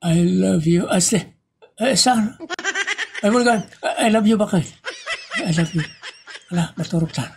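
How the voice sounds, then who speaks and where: A man playing an excitable, bumbling husband in a comic audio drama, with a creaky, husky voice and an exclamatory delivery. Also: high-pitched, unhurried, pauses often, noisy background. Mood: dreamy, lovestruck.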